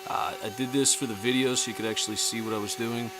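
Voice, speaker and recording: A man hosting a casual woodworking tutorial in his workshop, relaxed and self-deprecating. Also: mid-range voice, fast talker, noisy background. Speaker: woodworking host. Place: home woodshop.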